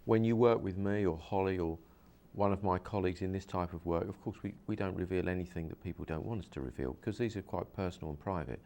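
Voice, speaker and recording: A man's voice: low-pitched, quick pace, hardly any pauses, a little mellow. A man, a hypnosis practitioner, interviewing a guest and explaining his method earnestly, with many repeats and restarts.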